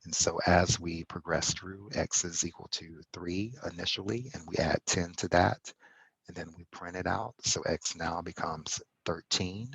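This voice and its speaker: A man explaining code step by step in a tutorial, pausing often and correcting himself as he goes. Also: deep voice, fairly even intonation, gravelly, fairly bright tone, slightly rough, dry recording, poor audio. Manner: halting, self-correcting explanation with fillers. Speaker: instructor. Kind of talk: screen-recorded coding tutorial.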